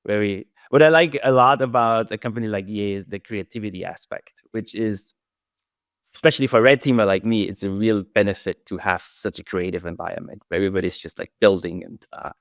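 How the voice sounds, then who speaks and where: Middle-aged Austrian guest, German accent, lowish voice, thoughtful, restarts sentences, close dry studio mic. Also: male voice, brisk, no room sound. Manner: thoughtful, restarts phrases, trails off softly. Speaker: security researcher guest. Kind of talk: casual interview answers.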